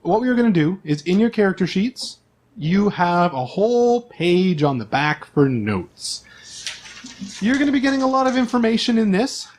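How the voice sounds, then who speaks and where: A man's voice: high voice, sing-song, normal speed, darkish tone. A man speaking as game master, explaining the game's setting to his players in an informal, conversational way.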